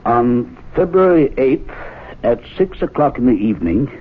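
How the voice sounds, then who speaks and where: An actor playing a timid, anxious gentleman of a men's club who talks hesitantly, full of uhs. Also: mid-range voice, big pitch swings, normal speed, slightly rough. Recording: tinny 1970s radio broadcast.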